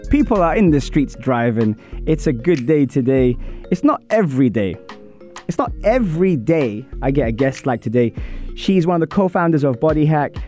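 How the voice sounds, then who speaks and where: Hoarse, upbeat male radio host. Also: a little high, sing-song, normal speed, mellow, faint music behind. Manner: upbeat, hyped-up presenter delivery. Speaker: radio sports show host. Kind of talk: radio show hosting and interviewing.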